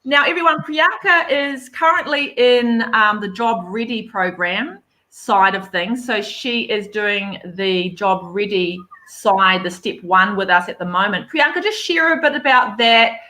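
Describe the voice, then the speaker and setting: A woman's voice: mid-range voice, unhurried, hardly any pauses, pushed and bright. A woman hosting a live online chat over a video call, upbeat and encouraging. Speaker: host.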